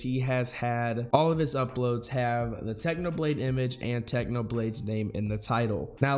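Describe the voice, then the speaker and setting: Young male host, voice hoarse from a sore throat, earnest and indignant, medium pitch. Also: darkish tone. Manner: earnest, indignant, talks to viewers as "guys". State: hoarse, losing his voice. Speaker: news channel host. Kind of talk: scripted commentary video.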